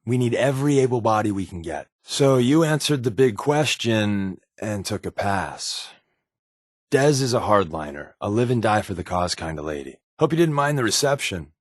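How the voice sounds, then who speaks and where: Game companion, wry secret agent. Lower-pitched, conversational, sardonic wisecracker who confides easily; dry studio sound. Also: male voice, very animated, moderate pace, no echo. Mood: earnest, wry. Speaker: video game companion character. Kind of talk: conversational video game voice acting.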